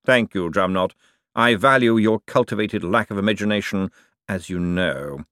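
A man reading aloud and voicing a cold, calculating ruler who speaks slowly with icy politeness. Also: lowish voice, fairly bright tone, no room sound, studio quality, natural voice. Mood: coolly polite. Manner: slow, measured, icily polite. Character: cold, silkily menacing male ruler, patient tyrant.

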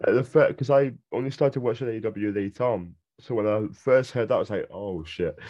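Deep-voiced British podcast host, lo-fi. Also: male voice, expressive, normal speed, a little mellow. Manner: chatty and enthusiastic. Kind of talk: casual podcast interview. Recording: dull, lo-fi sound.

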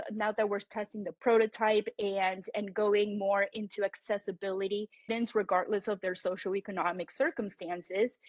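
A woman's voice: medium pitch, no echo. A woman who grew up Colombian American with Spanish as her first language, speaking earnestly as a founder on a podcast and often repeating words as she restarts. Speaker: founder and CEO of a tutoring company. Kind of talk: podcast guest explaining her business.

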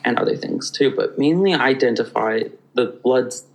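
A man from Hawaii, a podcast guest telling stories in an animated, rambling way with many restarts. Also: mid-range voice, normal speed, low-quality recording. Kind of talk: conversational storytelling.

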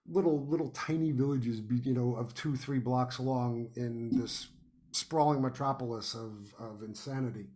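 Male, American, medium pitch. Podcast guest in casual conversation, thoughtful, halting delivery with repeated words. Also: warm tone. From United States. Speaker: guest playwright and podcast host. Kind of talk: casual interview chat.

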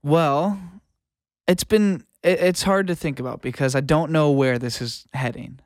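A man's voice: a little high, measured pace, no room sound, studio quality. A young North American man, an interview guest, talking in a casual, reflective, informal way. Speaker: interview guest, music producer and audio engineer. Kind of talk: casual chat.

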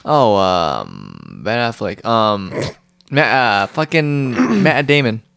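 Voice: medium pitch, sing-song, takes it slowly, gravelly, a bit bright, dry recording. A person guessing movie trivia answers with a friend, cracking jokes, swearing freely and laughing a lot. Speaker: trivia contestant. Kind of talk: casual trivia chat between friends.

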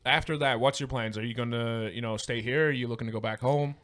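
A man's voice: medium pitch, fairly even intonation, fast talker, a bit bright, no echo. A man hosting a podcast in a casual, conversational way. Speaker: podcast host. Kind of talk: casual interview chat.

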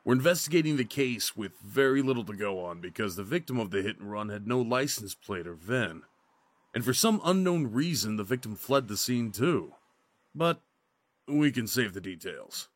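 An actor playing a skeptical, by-the-book highway patrol officer in an audio drama, official and matter-of-fact. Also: male voice, sing-song, moderate pace, dry recording.

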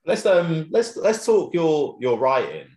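A man's voice: fairly high pitch, big pitch swings, slow, warm tone. A man hosting a casual interview, rambling through his questions and laughing easily. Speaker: podcast host. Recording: roomy echo.

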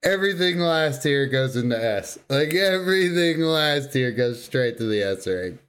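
A man's voice: medium pitch, expressive, normal speed, a bit bright, smooth. A man co-hosting a podcast, talking about games in casual, slangy, emphatic fan talk. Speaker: podcast co-host. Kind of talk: casual gaming chat.

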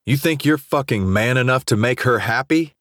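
A man's voice: medium pitch, expressive, moderate pace, fairly bright tone, dry recording, studio quality, voice pushed up, natural voice. A man voicing a drunk, jealous, self-loathing young student athlete, confrontational and swearing, in an audiobook drama. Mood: furious taunting. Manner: profane, aggressive, confrontational.

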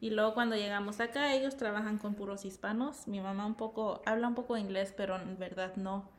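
A young woman from California co-hosting a podcast, chatting in a relaxed, unhurried way. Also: medium pitch. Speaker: podcast co-host. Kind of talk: casual chat.

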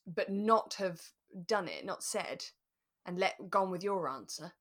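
A British woman co-hosting a relaxed chat podcast, telling stories with acted-out asides. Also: fairly low pitch, unhurried. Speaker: podcast co-host. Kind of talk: relaxed chat about a radio drama.

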